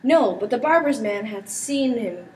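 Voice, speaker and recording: A woman's voice: on the high side, lively intonation, measured pace, darkish tone. A teenage girl playing a young, credulous count in an amateur Shakespeare performance. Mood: teasing. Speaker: student performer. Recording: distant mic with background noise.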